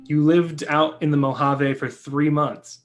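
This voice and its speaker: A man acts out a cautious, buttoned-up screenwriter in a stage drama. His voice sits a little high for a man. He is polite but guarded and hesitant, with exasperation close beneath. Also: level tone, measured pace, a little hiss or hum, close to an everyday voice. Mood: puzzled. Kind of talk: play reading, stage drama dialogue.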